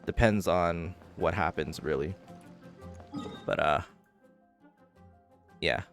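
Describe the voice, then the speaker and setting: A man's voice: low voice, takes it slowly, creaky, soft background music. A man talking casually as he streams a video game, commenting live on what he is doing.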